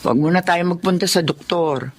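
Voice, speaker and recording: A woman playing a gentle, hesitant elderly mother-in-law in a Filipino drama. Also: on the low side, normal speed. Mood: ailing, reluctant. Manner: mild, hesitant. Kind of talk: Filipino radio-style drama acting.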